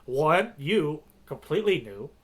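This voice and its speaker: A man's voice: a little high, very animated, measured pace, fairly bright tone. An American man co-hosting a podcast in a casual, conversational way, often checking in with his listener. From United States. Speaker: podcast co-host. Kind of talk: casual chat.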